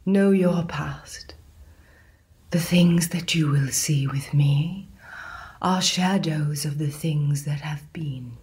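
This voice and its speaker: Whispery ghost spirit, low and slow, solemn. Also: female voice, darkish tone. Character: ghostly spirit of Christmas past, calm and solemn.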